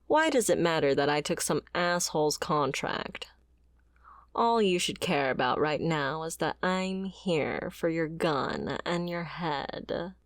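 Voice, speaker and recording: A fiery female mercenary, acted by a woman for a dramatic ASMR roleplay, speaks slowly and confrontationally. She is a wounded former bodyguard with a sardonic, bitter edge and a slightly low voice. The sound is close and very dry. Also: dry recording. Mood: menacing, mocking.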